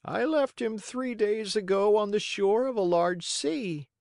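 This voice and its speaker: A storyteller voicing a kindly, helpful talking pigeon in a high voice with a measured, gently instructive manner. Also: creaky, no echo, clean studio sound. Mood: informative, grave. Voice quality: high-pitched.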